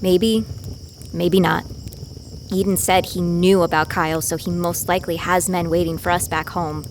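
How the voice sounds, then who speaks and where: A woman's voice: fairly low pitch, fairly even intonation, moderate pace, a little hiss or hum, close to an everyday voice. A woman playing a weary, determined young survivor, narrating her ordeal in a grave, introspective audio drama style. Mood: cautious. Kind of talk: first-person scripted audio drama narration. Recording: loud music and sound effects behind.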